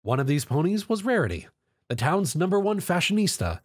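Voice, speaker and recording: A man's voice: sits high, very animated, a little fast, slightly rough. A man narrating a scripted story as a dramatic reader. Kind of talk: scripted narration, dramatic fan fiction reading.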